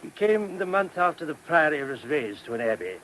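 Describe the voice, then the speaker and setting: Un monaco esitante, vecchia radio rumorosa. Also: male voice, a little high, sing-song, a bit bright. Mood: troubled, earnest. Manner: hesitant, apologetic, halting. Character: anxious, courteous monk guiding a police inspector. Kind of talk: radio play acting. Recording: noisy old radio recording.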